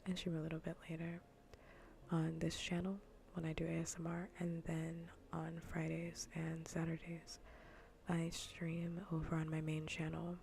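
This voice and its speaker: Female ASMR gaming streamer: low, gravelly murmur slipping into breathy whisper, casual and unhurried. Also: monotone, a little mellow, slight background noise. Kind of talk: ASMR gaming livestream chat, soft whispering.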